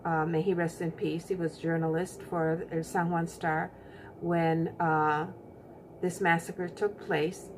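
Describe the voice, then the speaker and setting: A woman hosting a book channel, chatting casually with many pauses and fillers. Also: fairly low pitch, little pitch movement, a little hiss or hum, poor audio. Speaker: BookTube channel host. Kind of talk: casual book tag vlog.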